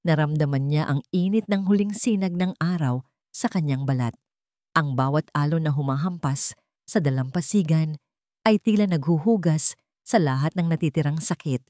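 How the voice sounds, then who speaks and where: Low-pitched Filipino woman narrating, dry sound. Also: warm tone, dry recording. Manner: measured, sentimental delivery. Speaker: storyteller. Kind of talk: dramatic scripted story narration.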